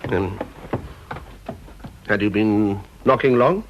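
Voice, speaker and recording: A man's voice: on the low side, takes it slowly, lo-fi sound, a little hiss or hum. An actor with an English accent playing a brusque, impatient security supervisor and father in a radio drama. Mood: impatient, dismissive. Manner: brusque, authoritative, dismissive.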